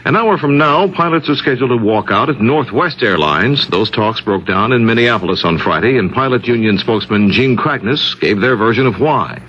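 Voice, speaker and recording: Man, American accent, news anchor, medium pitch, animated delivery, scripted bulletin, muffled old radio sound. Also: normal speed, hardly any pauses, slightly rough. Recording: muffled, noisy 1970s radio broadcast.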